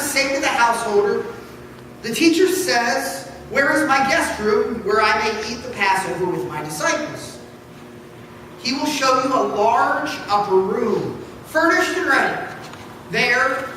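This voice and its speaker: A woman reading scripture aloud in church, solemn and deliberate, with dramatic phrasing. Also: high voice, a bit bright. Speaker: scripture reader. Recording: echoey hall from far off, faint noise.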